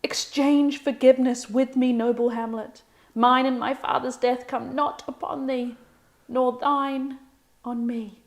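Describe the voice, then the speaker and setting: A woman's voice: high-pitched, level tone, measured pace, hardly any pauses. A woman acting a dying young nobleman in a Shakespeare table read, declaiming solemn, forgiving verse.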